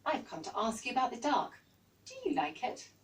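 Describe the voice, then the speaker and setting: A woman's voice: a little high, very animated, a little fast, recorded from a distance, voice pushed up. A woman with an English accent voicing an earnest, childlike young male owl in a storybook. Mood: curious, timid. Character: eager young male barn owl, timid of the dark.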